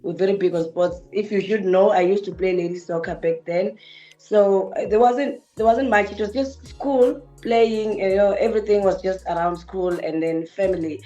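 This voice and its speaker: A woman with a Venda accent and a deep voice, a musician recalling her village childhood as a guest on a radio show. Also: normal speed, soft background music. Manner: relaxed, reflective, mixes English and Venda. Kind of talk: casual radio interview. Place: radio studio.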